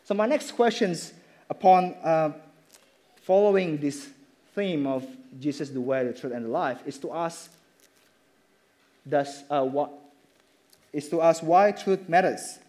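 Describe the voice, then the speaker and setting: Male preacher, slightly high, mellow tone, halting delivery. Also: animated. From Indonesia. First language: Indonesian. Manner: halting, with many fillers and restarts. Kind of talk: sermon with slides.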